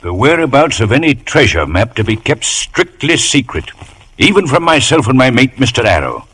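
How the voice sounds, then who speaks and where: An actor playing a stern, dutiful sea captain, clipped, formal and commanding, in a radio drama. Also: male voice, medium pitch, sing-song, normal speed, fairly bright tone, slightly rough. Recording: faintly noisy 1940s radio recording.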